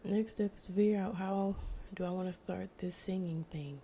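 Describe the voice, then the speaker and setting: American woman, twenties, mellow tone, slightly low pitch, informal reflective podcast chat, noisy background. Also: fairly even intonation, normal speed. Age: 20s. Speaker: podcast host. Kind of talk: casual solo podcast monologue. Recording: noticeable background noise.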